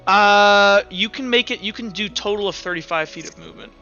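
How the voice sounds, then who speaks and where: A man's voice: high voice, fairly quick, pushed and bright. A man acting as game master in a tabletop roleplaying game, explaining rulings in an accommodating way. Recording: dull sound with music underneath. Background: music.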